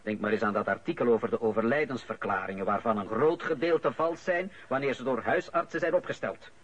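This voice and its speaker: Man acting a bitter flemish guy in a radio play, wronged son, husky and worked up, muffled recording. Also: mid-range voice, brisk, a bit bright, lightly acted. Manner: agitated, bitter, emphatic. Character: aggrieved adult son, wrongly accused and indignant.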